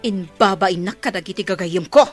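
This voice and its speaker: A woman playing a proud, wealthy wife who scolds and quarrels in a sharp tone. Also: mid-range voice, moderate pace, soft background music, lightly acted. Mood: furious. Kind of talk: radio drama acting.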